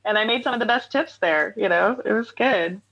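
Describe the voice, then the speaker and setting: A woman's voice: medium pitch, fairly quick, gravelly, fairly bright tone, lo-fi sound. A woman in her forties, a singer-songwriter guest telling stories in a casual interview, laughing often. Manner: chatty, laughs often, storytelling.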